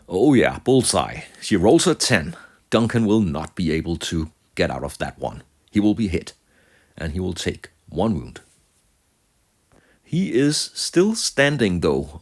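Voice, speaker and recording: A very low male voice gives play-by-play narration of a miniature wargame. He moves slowly and deliberately through short phrases, with a pitch that swings widely from line to line. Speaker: narrator of a tabletop wargame battle report.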